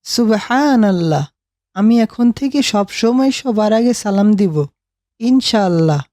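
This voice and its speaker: A woman's voice: medium pitch, expressive, takes it slowly, mellow, no echo, clean studio sound. A woman voicing an obedient, pious little grandson with an earnest, childlike manner in a cartoon. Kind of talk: animated cartoon voice acting.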